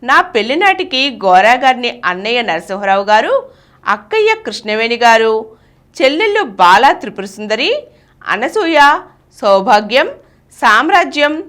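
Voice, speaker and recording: A woman's voice: a little high, sing-song, a little slow, fairly bright tone. A woman reading a first-person memoir aloud from a script. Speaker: reader of a memoir.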